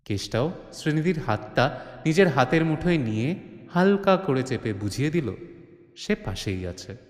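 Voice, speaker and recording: A man's voice: on the high side, very animated, moderate pace. A man narrating a scripted audio drama in a dramatic storytelling style, with an echoing, reverberant sound. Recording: echoing studio reverb with faint music bed.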